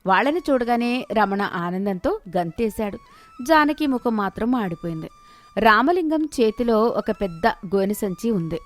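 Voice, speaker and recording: A woman's voice: medium pitch, expressive, unhurried, soft background music. A woman reading a scripted moral story as its narrator.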